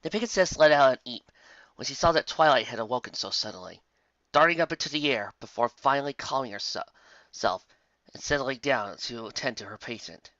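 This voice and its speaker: A man reading a story as a scripted narrator, with dramatic, expressive delivery on a muffled, dry home recording. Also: medium pitch, brisk, dry recording. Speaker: fan fiction narrator.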